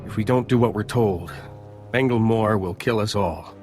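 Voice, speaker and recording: Man acting alien villager, desperate, urgent, hard-edged, somewhat deep, game cutscene, clear music. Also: moderate pace, natural voice. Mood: grim, desperate. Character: tense, desperate male alien villager turned betrayer.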